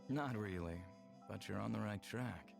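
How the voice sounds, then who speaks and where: A man playing a bold, seductive alien suitor in a visual novel, speaking with calm, teasing assurance. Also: lowish voice, moderate pace, vocal fry. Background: game music.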